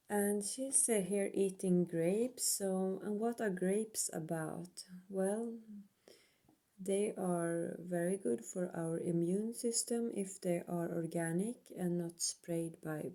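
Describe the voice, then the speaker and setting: A woman with a Swedish accent doing a casual tarot reading, hesitant and full of pauses, speaking English as a second language. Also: lowish voice, dark tone. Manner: hesitant, many ums and pauses. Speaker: tarot reader. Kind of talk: slow casual card reading.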